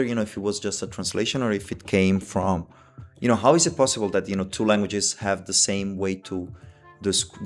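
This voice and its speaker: A tired man with an Italian accent, Italian his first language, thinking aloud as he plays chess on a live stream, full of "you know" and "like". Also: sits low. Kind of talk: casual live-stream chat while playing.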